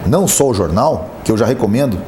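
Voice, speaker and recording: A lively, chatty man, hosting a business talk show. His voice sits in the middle range. The studio sounds roomy, with steady noise behind him. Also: medium pitch, fast talker. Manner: lively, chatty, plugs sponsors. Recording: echoey studio with background noise.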